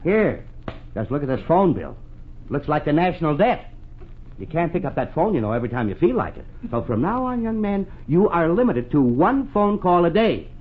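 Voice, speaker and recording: Penny-pinching American father, middle-aged, stern and smug, very animated delivery, thin 1940s radio comedy sound. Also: a little high, moderate pace, breathy edge. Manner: emphatic, smug, scolding. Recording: tinny 1940s radio broadcast, faint noise.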